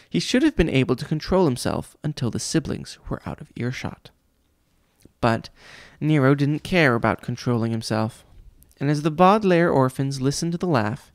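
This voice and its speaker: A man's voice: medium pitch, no echo, studio quality. A man reading a children's audiobook aloud as scripted storytelling narration. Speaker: audiobook reader, father reading to his child.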